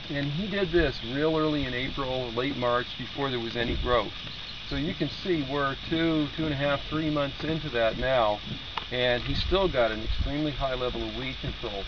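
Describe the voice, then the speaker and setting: Middle-aged man explaining outdoors to a group. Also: mid-range voice. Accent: Midwestern American. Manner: plain, practical, unhurried explaining with small restarts. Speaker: university weed scientist. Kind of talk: outdoor field-day talk. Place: outdoors at a fruit farm, before a standing group. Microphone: camera several feet away. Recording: slightly dull outdoor camera audio with clear background noise.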